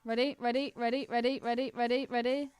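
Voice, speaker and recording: A woman co-hosting a podcast in casual chat about a film, animated and exclamatory, with plenty of swearing. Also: a little high, quick pace, clean tone, no room sound, clean studio sound. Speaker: podcast co-host.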